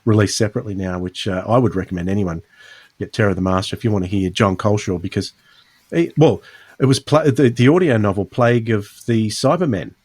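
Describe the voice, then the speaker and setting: A man's voice: on the low side, lively intonation, quick pace, darkish tone, slightly rough. A man hosting a podcast in a casual, conversational style, restarting phrases as he thinks aloud. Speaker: podcast host. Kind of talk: casual chat.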